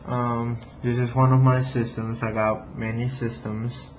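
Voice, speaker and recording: A man with a Latin American Spanish accent, a native Spanish speaker, giving a casual how-to talk at his home computer, halting with many fillers and restarts. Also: medium pitch, flat delivery, darkish tone, roomy sound, lo-fi sound, a little hiss or hum. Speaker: real estate investor vlogger.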